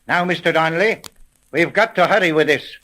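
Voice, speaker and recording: Casting: man playing a wary, quick-thinking American police sergeant for 1930s radio mystery, higher-pitched male voice, clipped orders and street slang. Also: fairly bright tone, natural voice. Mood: pressing, staged. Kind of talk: 1930s radio detective drama acting.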